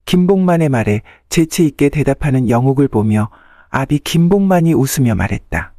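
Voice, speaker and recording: A man's voice: medium pitch, lively intonation, normal speed, dark tone, no echo, studio quality. A man narrating a folktale from a script as the storyteller.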